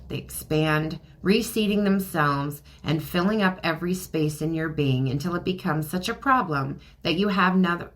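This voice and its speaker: A middle-aged woman hosting a casual spiritual podcast, reading oracle cards, chatty with many ums and laughs, a little hoarse as she is losing her voice. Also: sits low, fairly bright tone. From Canada. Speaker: podcast host and card reader.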